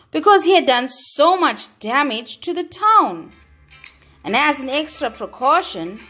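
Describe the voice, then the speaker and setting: A woman reading a children's storybook aloud, with expressive, deliberate and emphatic phrasing. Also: high-pitched. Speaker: storybook reader.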